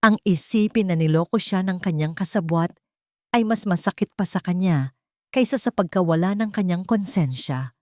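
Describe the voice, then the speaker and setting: A woman with a Filipino accent reading a scripted first-person dramatic story in a deliberate storytelling manner. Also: fairly low pitch, dark tone, dry recording, studio quality. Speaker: narrator of an audio love-and-revenge drama.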